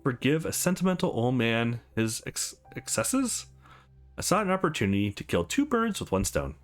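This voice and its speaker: Man playing shrewd old lawyer, formal and measured. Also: mid-range voice, sing-song, faint music behind, natural voice. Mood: measured, defensive.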